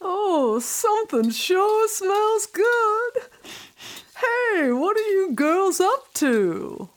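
A woman's voice: extremely high pitch, big pitch swings, extremely slow, voice pushed up, full character voice. A woman acting a cheerful, folksy father in an audio drama, hearty and playful.

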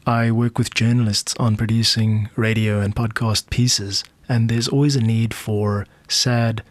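A South African man hosting a podcast, explaining in a measured way like a music producer walking through his work. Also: fairly low pitch, little pitch movement, dry recording.

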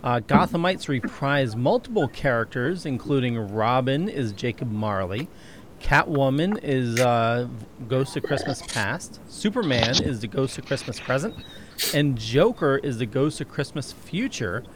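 Man with a lively, bouncing voice. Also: medium pitch, a little slow, noisy background. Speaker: participant reading the comic intro. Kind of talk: casual chat and read-out intro.

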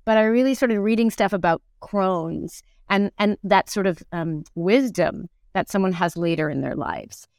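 A woman in her forties talks casually as an interview guest. Her voice is smooth and clean at a medium pitch, recorded dry, and she feels her way through thoughts in halting phrases. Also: a little mellow, no room sound. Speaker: actor and singer, podcast guest.

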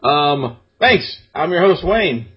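A man hosting a podcast in casual banter, loud and jokey. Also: a little high, big pitch swings, slow. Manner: loud, jokey, puts on silly voices. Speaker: podcast host. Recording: dull lo-fi sound with clear background noise.

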